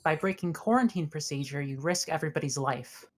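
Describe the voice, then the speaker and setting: A woman's voice: sits low, a little fast. A woman playing a stern, wary senior officer on a spaceship, blunt and challenging, in a sci-fi table read. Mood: accusing, firm.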